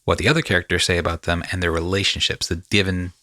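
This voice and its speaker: A male podcast host with a fairly low voice and a bright, punchy edge. He rambles through stories conversationally, dropping in slangy asides. The sound is dry and close. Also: steady tone, quick pace, no room sound.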